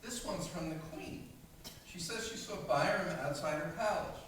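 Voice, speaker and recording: A man's voice: high voice, moderate pace, a bit bright. A man as a decisive farm leader, echoey. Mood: purposeful. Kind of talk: stage radio play acting. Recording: echoey hall from far off, faint noise.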